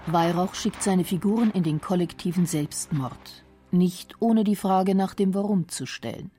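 Woman, radio documentary narrator, measured, lowish, mellow, faint music. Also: husky. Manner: measured and explanatory.

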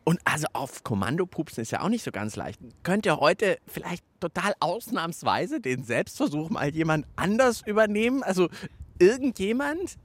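A man co-hosting a science show for children in a lively, chatty way. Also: high-pitched, normal speed, dry recording. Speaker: children's science podcast host.

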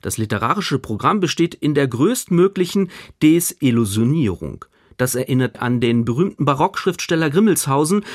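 Male literary critic, lively swooping intonation, slightly high voice, formal emphatic scripted review, dry studio sound. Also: no room sound. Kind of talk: scripted radio book review.

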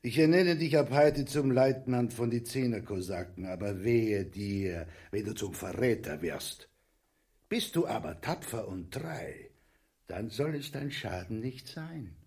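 An actor playing a coarse, imperious Russian emperor in a grotesque radio comedy, pompous, stern and contemptuous. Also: male voice, lowish voice, animated, unhurried, darkish tone. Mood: stern, commanding.

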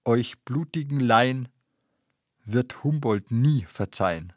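Dry-sounding male narrator, measured. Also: medium pitch, expressive, darkish tone, dry recording. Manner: measured, factual. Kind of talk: literary scripted narration.

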